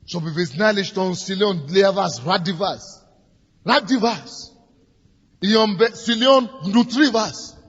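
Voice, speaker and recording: A man's voice: high-pitched, slow pace, fairly bright tone, breathy edge. A man preaching. He grows fervent, repeats his phrases and leads the people in prayer. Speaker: pastor. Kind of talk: sermon leading prayer. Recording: dull lo-fi recording in a reverberant hall with music.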